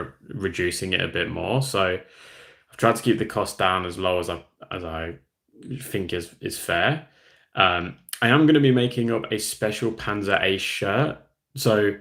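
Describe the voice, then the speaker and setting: A low-voiced man talks loosely to his livestream viewers, rambling between ideas and reading out comments. His voice sits deep, with a gravelly, fry-laden edge. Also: a bit bright. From Australia. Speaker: hobby channel host. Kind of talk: casual livestream chat.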